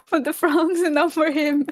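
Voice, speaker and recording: A woman's voice: very high-pitched, no echo. A woman co-hosting a casual livestream, bantering in a playful, blunt, innocent-sounding way. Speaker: guest co-host reacting to game trailers. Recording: music behind the voice. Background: music.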